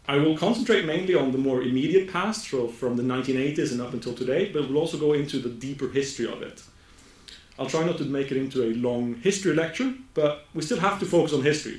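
A man from Norway with a Norwegian accent giving a conference presentation, speaking slowly and carefully and often correcting himself mid-word. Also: medium pitch. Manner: slow, careful delivery with frequent self-corrections. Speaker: academic speaker. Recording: echoey room.

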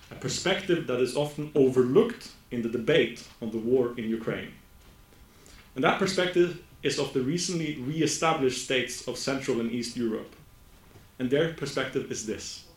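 Norwegian-accented man lecturing in an echoey room. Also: medium pitch. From Norway. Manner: slow, careful delivery with frequent self-corrections. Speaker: academic speaker. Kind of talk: conference presentation.

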